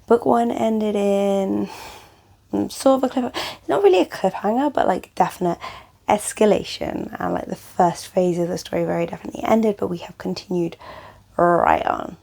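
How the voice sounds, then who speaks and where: Young woman, croaky and tired, mellow low-lit tone, rambling casual book chat to camera. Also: moderate pace. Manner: chatty, rambling, lots of fillers. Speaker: book vlogger.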